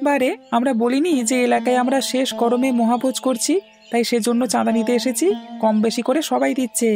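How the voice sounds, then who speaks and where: A man's voice: extremely high pitch, flat delivery, fairly quick, creaky, a little mellow, soft background music. A man playing a cheeky, insistent village lad in a fairy-tale cartoon, wheedling as he speaks. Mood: persuasive.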